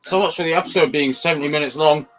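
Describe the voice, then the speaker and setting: A man hosting a live watch party with a croaky morning voice, talking nervously, swearing and pleading. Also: fairly high pitch, fast, a bit bright, faint music behind. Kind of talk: live reaction commentary.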